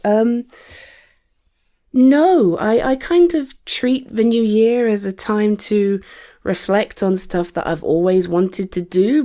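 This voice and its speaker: A British woman playing a caring, supportive partner in an intimate conversational audio roleplay, speaking softly with a gentle, hesitant, coaxing manner. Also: mid-range voice. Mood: relaxed, agreeable.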